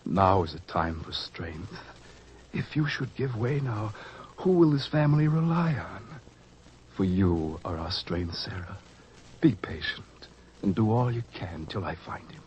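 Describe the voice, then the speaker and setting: A man's voice: fairly low pitch, lively intonation, measured pace, muffled recording. An actor playing an anxious, earnest father of a sick child in a 1940s radio drama, speaking urgently. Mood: worried. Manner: urgent, pleading. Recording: old radio recording with faint hiss.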